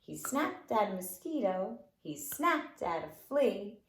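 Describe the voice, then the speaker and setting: A woman's voice: mid-range voice, big pitch swings, slow pace. A woman nursery school teacher leading children's circle time with rhymes and finger plays in a playful, encouraging, sing-song way. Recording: roomy, echoing indoor sound.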